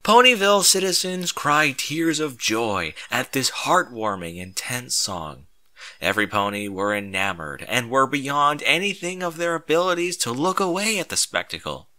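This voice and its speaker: A man's voice: medium pitch, big pitch swings, a little slow, sharp tone. A man narrating a comedic fan-fiction story with mock-epic, theatrical emphasis.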